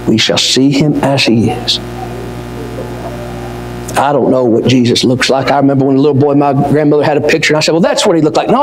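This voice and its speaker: An older man preaching a sermon from a pulpit, swinging from near-shouts down to low, slow words. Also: background noise. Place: church auditorium. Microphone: pulpit mic, wide far camera. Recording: echoey hall with long reverb.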